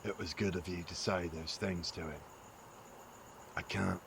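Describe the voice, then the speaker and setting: An actor playing a gruff, awkward middle-aged father with a gravelly voice and terse, halting speech in an audio drama. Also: low-pitched, steady tone, background noise. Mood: subdued, regretful.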